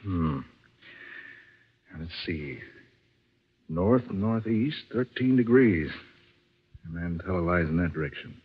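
Tinny vintage radio drama, gruff bush pilot, low husky voice, weary, grumbling, dramatic. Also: male voice, expressive, slow pace, long pauses, exaggerated voice. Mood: musing, uneasy. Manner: grumbling, plain-spoken, dramatic. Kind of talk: 1940s radio acting, talking to himself and others. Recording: scratchy, muffled old phone-like radio recording.

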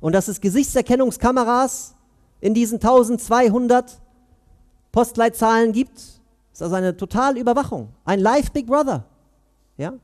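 Man, 30s, imam's Friday sermon; earnest, questioning delivery, high voice, headset mic. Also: a little mellow. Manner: earnest, questioning, pauses between phrases. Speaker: imam giving Friday sermon. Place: mosque prayer hall, indoors.

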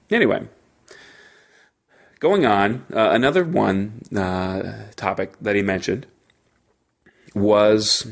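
A man with a fairly low voice hosts a podcast on his own. He talks informally and haltingly, working through his thoughts aloud and often circling back to rephrase. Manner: halting, rambling, thinking aloud. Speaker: podcast host; engineer. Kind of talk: informal solo podcast monologue.

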